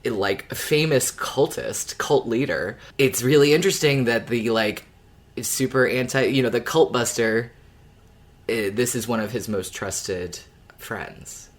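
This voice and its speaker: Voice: mid-range voice, measured pace. Conversational podcaster thinking through story details. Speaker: podcast co-host. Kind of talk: casual fan discussion of an audio drama.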